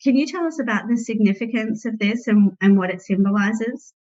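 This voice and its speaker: A woman interviewing an author for a library, asking polite, measured questions with frequent pauses. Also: a little high, a little mellow. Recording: dull lo-fi recording.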